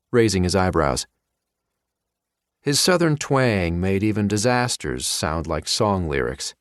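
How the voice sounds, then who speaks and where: Casting: American male narrator for thriller fiction, expressive delivery, fairly low voice, very dry studio sound. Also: dry recording. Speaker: audiobook narrator. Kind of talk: scripted first-person thriller narration.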